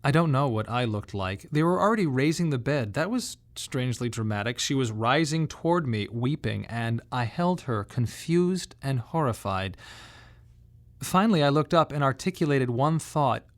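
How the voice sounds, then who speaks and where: A man delivering scripted dramatic narration in a radio monodrama, reflective and measured, with emphatic pauses. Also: mid-range voice, lively intonation. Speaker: playwright performing his autobiographical monologue.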